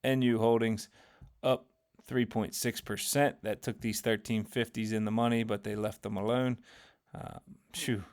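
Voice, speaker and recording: A man hosting a casual market recap, talking through trades in a rambling, conversational way with lots of fillers while his voice grows hoarse. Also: on the low side, flat delivery, a little slow, hardly any pauses. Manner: conversational, rambling, many fillers and self-corrections. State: voice getting hoarse, losing his voice. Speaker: finance channel host.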